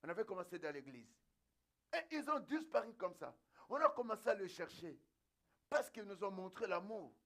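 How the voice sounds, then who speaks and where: A man's voice: high voice, sing-song, normal speed, fairly bright tone. A male pastor preaching a sermon in an emphatic, exhorting and repetitive way.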